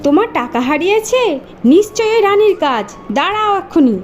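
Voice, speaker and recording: A woman plays a cruel cartoon mother with a high, piercing pitch. She scolds, accuses and threatens with a sharp tongue in full melodrama, over faint music. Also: big pitch swings, brisk. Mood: angry, accusing.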